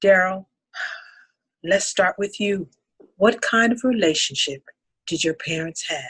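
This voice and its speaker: Patient couples counselor woman reading the part, husky voice, measured and instructive, drama table read. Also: fairly low pitch, a bit bright. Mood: soothing, firm.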